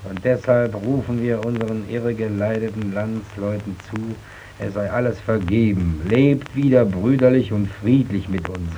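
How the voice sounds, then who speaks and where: Theatrical mine worker in a noisy old radio play. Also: male voice, medium pitch, lo-fi sound. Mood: bitterly ironic. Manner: musing, theatrical, swinging from soft wonder to outcry. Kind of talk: old German radio drama acting.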